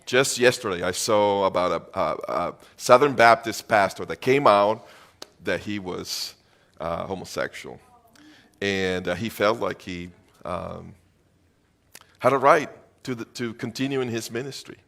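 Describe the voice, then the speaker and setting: Slow, low-voiced preaching man. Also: gravelly, a bit bright. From Venezuela. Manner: earnest, emphatic preaching with repeated phrases. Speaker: pastor. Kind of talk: sermon.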